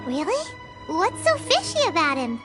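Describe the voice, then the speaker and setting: A woman's voice: huge pitch swings, moderate pace, heavily acted. A woman voicing a tiny, curious fairy companion in a video game, who talks about herself in the third person. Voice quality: high, bright and childlike. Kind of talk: game dialogue. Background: game music.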